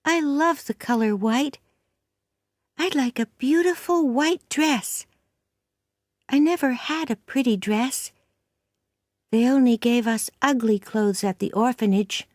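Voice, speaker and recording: A woman's voice: high-pitched, slow pace, pauses often, no echo, clean studio sound, voice pushed up. A woman voicing a chatty, dreamy orphan girl of about eleven who talks in gushing, dramatic bursts.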